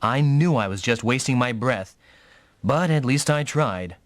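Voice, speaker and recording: Man as animated, teasing young mech pilot, dry studio. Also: mid-range voice, measured pace, no room sound, lightly acted. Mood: wry. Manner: casual, teasing. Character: young mech pilot, teasing, easygoing big-brother type. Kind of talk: anime-style video game dub acting.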